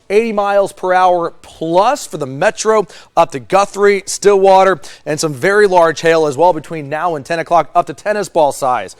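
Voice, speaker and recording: An American man presenting a severe weather forecast on television. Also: high-pitched.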